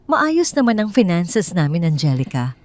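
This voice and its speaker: Betrayed wife acted by a woman, audio drama, restrained, quietly steely, measured, pointed, mellow timbre. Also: on the low side, big pitch swings. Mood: pointed, firm.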